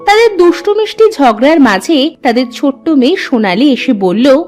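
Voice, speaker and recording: A woman's voice: sits high, lively intonation, moderate pace, darkish tone, faint music behind. A woman reading scripted narration for a cartoon folk tale.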